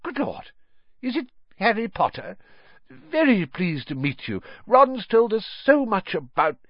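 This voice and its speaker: A man's voice: high voice, big pitch swings, measured pace, no echo, muffled recording, pitched above the performer's own voice, exaggerated voice. Man doing mild weary father, lilting and hesitant. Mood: surprised. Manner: hesitant, mumbling, sighing. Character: mild, weary middle-aged father, absent-minded, sheepish.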